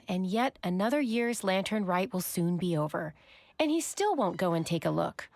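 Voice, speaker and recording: A woman's voice: medium pitch, animated, normal speed. A woman playing a composed, wry innkeeper boss in a video game, knowing and conversational. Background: music.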